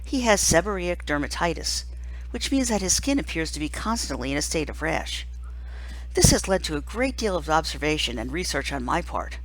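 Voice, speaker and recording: A woman reading a first-person children's novel aloud like a teacher, expressively, with deliberate emphasis and dramatic pauses. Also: deep voice, sing-song, poor audio.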